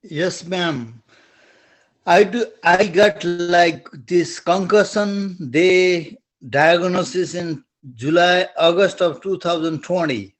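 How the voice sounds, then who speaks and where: A middle-aged man from Nepal with a strong Nepali accent who speaks in halting phrases, restarts often and searches for words while asking a question in an online webinar. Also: sits high.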